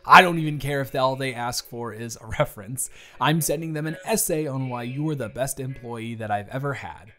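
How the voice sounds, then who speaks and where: A man's voice: medium pitch, fairly bright tone, close to an everyday voice. A man playing a fond, chatty office boss in his early thirties in a romantic audio roleplay, speaking earnestly and playfully straight to the listener. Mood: admiring, teasing. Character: warm, earnest thirty-three-year-old male office boss, fond and encouraging. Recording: background music. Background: music.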